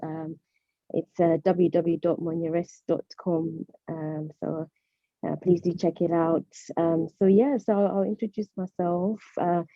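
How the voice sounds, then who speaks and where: Woman, low voice, dull dry audio. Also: flat delivery, a little slow, dry recording. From Zimbabwe. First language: Shona. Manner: friendly, hesitant, with many fillers. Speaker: personal trainer. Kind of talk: guest self-introduction.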